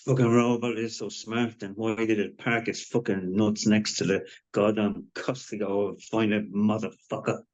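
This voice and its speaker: Male actor as irreverent, brash wisecracker, lowish pitch, muffled lo-fi recording, table read. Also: little pitch movement, normal speed, few pauses, close to an everyday voice. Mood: cocky, irreverent. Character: cocky foul-mouthed wisecracking man. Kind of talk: live screenplay table read acting. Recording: dull lo-fi mic.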